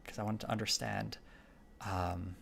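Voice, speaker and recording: Male streamer, raspy, heavy gravel in the voice, loose rambling delivery. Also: lowish voice, fairly even intonation, a little slow, a bit bright, slight background noise. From Canada. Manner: rambling, many fillers and restarts. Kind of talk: casual live-stream chat with viewers.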